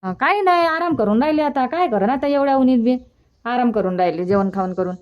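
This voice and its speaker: A woman voicing a fond, gently nagging older village mother in a cartoon comedy, colloquial and insistent, with a rural Vidarbha Marathi accent. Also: high-pitched, animated, fast talker. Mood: fond, agreeable.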